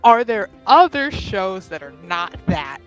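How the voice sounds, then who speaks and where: A woman's voice: medium pitch, sing-song, takes it slowly, lo-fi sound, faint music behind. A woman playing a tabletop roleplaying game, describing her character casually in a hesitant, giggly way.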